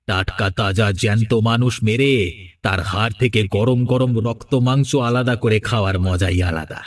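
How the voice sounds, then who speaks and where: A man's voice: fairly low pitch, unhurried. A man voicing a menacing, vengeful undead cannibal sorcerer in a Bengali horror cartoon, with a theatrical threatening manner. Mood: gloating, menacing. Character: menacing flesh-eating undead sorcerer ghost, vengeful father.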